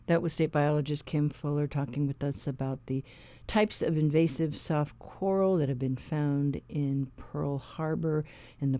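A man hosting a public radio show, introducing segments and reading a quiz in a measured, clear announcer manner into a studio microphone. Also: on the high side, mellow, no echo.

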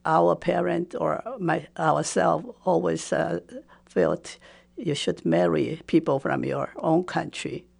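Slow gravelly older woman. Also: deep voice, darkish tone. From Taiwan. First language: Japanese. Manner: slow, hesitant, with frequent fillers. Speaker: interviewee. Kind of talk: personal recollection.

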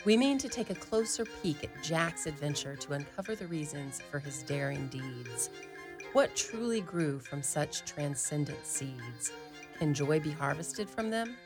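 A woman announcing a radio play in a measured, theatrical, scripted introduction. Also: sits low.

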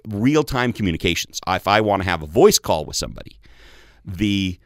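A man with a very low bass voice, a tech guest on a radio interview show, patiently explaining with everyday analogies. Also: very animated, brisk, no echo, studio quality.

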